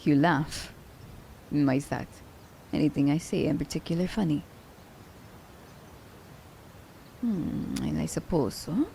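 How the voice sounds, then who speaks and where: Woman as playful female assassin, ASMR roleplay: mellow, fry-laden voice, unhurried, fondly teasing. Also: low-pitched, slight background noise. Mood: teasing, amused. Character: teasing, worldly female assassin fond of her werewolf captive.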